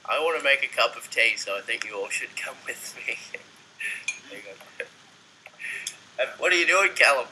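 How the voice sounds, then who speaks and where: A man's voice: mid-range voice, lively intonation, normal speed, sharp tone. A young man chatting casually on a morning livestream from a living room, sleepy and joking. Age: young adult. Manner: sleepy, joking, laughs often. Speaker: band member on livestream. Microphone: laptop webcam mic. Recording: noisy webcam audio.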